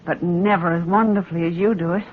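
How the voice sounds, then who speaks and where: Headstrong wife in old radio drama. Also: female voice, on the low side, steady tone, fast, husky. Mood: charmed, gushing. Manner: gushing, theatrical. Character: infatuated, headstrong young wife, a singer. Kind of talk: 1940s radio drama acting. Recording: muffled, noisy vintage radio recording.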